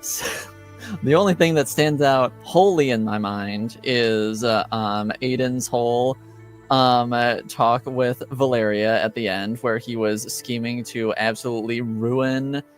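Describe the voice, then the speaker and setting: A man with a mid-pitched voice hosts a tabletop game session in easygoing chat. He stumbles through his recap with plenty of pauses and fillers, soft music beneath. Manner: laid-back, laughs easily, halting recap. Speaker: game master.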